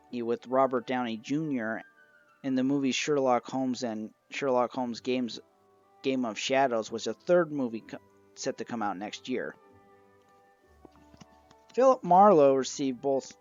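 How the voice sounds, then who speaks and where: Man reviewing over music, dull lo-fi sound. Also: medium pitch. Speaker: amateur reviewer of old radio shows. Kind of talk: informal review monologue. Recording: dull lo-fi recording, music behind.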